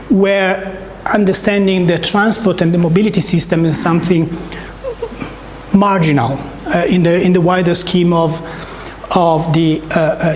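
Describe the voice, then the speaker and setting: A man's voice: high voice, a little hiss or hum. A man from Italy with an Italian accent, giving a research talk in a seminar, with frequent fillers and restarts. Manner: hesitant, many fillers and restarts, repeated words. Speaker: academic speaker. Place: seminar room. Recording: echoey lecture room.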